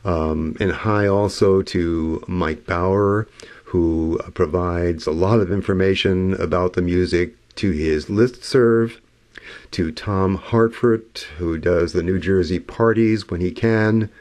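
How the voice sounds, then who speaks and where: Slow, deep-voiced hoarse man. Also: steady tone, a little mellow, dry recording. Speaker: radio host. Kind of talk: relaxed music radio links.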